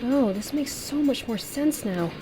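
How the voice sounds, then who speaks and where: A woman's voice: high voice, lively intonation, measured pace. An actor playing an earnest, sympathetic woman among monster hunters, speaking hesitantly and apologetically in audio drama dialogue. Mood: musing. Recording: noticeable background noise.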